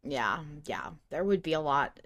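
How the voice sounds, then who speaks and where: A woman's voice: deep voice, sing-song, unhurried, a bit bright. Emphatic woman podcast co-host. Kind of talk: casual fan podcast chat, reading listener emails.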